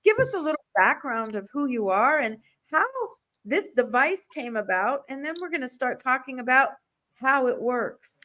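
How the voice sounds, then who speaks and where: A woman hosts a podcast interview in a conversational style. Her voice sits a little higher than average for a woman, and she speaks as someone leading the discussion. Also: lively intonation, moderate pace, bright, forceful tone. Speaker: podcast host.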